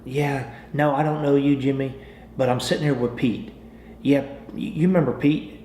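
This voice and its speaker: A man's voice: medium pitch, fairly even intonation, moderate pace, dark tone. Echoey American man, salesman-like trainer. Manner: salesman-like, folksy, repeats phrases and restarts. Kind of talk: informal training talk with acted example phone calls. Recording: roomy, echoing sound with faint noise.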